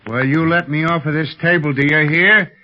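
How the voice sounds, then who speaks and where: An actor playing a folksy, exclamatory pilot sidekick in a 1930s radio adventure serial. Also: male voice, a little high, steady tone, normal speed, bright, forceful tone, soft background music. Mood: indignant, alarmed. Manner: exclamatory, indignant, colorful slang. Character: blustery, folksy pilot sidekick held captive. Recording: dull, crackly 1930s radio recording.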